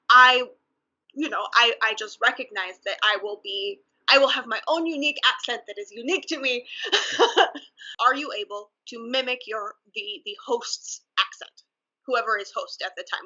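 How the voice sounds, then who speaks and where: A woman speaking English with a Mexican accent in a deliberate, earnest way, often laughing softly between thoughts, in a casual conversation over a video call. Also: on the high side. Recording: muffled video call audio.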